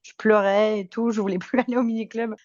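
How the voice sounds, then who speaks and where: A woman's voice: mid-range voice, flat delivery, a little fast, darkish tone, no echo, dull audio. A woman in her twenties from France, with a French accent lightly tinged with Québécois, chatting casually and good-humoredly as a podcast guest. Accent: French from France with slight Québécois tinge. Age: 20s. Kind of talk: casual chat, telling anecdotes.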